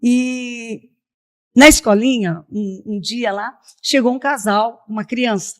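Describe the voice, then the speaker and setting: A woman's voice: a little high, slow, fairly bright tone. Woman telling her personal testimony. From Piracicaba, São Paulo state, Brazil. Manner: storytelling, often checks with "né?". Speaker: missionary, podcast guest. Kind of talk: personal testimony in a conversational interview.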